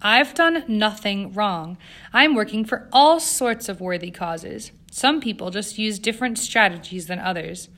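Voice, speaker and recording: A woman's voice: mid-range voice, lively intonation, a little slow, fairly bright tone. A woman voicing a privileged young socialite in an audiobook, with an airy, self-assured, impatient manner. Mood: defensive, emphatic. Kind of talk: audiobook character acting.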